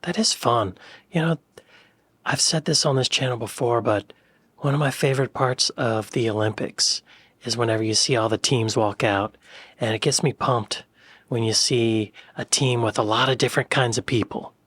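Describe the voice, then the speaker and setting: A man's voice: medium pitch, fairly even intonation, moderate pace, fairly bright tone, breathy edge, dry recording. An American man giving casual reaction commentary as the host of a video. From United States.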